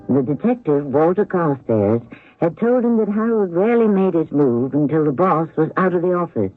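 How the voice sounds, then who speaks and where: A man's voice: fairly high pitch, slightly rough, no echo. An actor playing a wry male storyteller, reading scripted narration for an old-time radio mystery. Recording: dull lo-fi radio recording.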